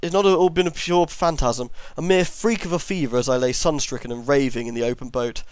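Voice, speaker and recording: A man's voice: medium pitch, a little fast, smooth, no room sound. Man reading a horror story, dry close sound. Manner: reads with stumbles over long words, self-correcting. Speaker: amateur story reader.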